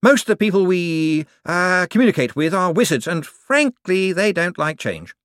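A man reading an audiobook, voicing a nervous, evasive male wizard bureaucrat who talks in a flustered, hurried way. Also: high-pitched, expressive, fairly bright tone, no room sound, studio quality, voice pushed up. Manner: flustered, hurried, over-explaining. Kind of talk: audiobook character voice.

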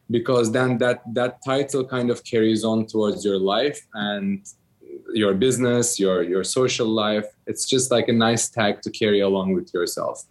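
Low-voiced Turkish-raised man in his thirties. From Turkey. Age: 30s. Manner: hesitant, many ums and restarts. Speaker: interview guest, entrepreneur. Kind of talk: casual interview answers.

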